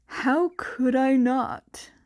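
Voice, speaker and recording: Smug, unhurried supervillain man for a story reading, played by a female narrator; polite on the surface, menacing underneath, measured delivery. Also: sits high, dry recording, clean studio sound. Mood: mock-apologetic. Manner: calm, mock-apologetic.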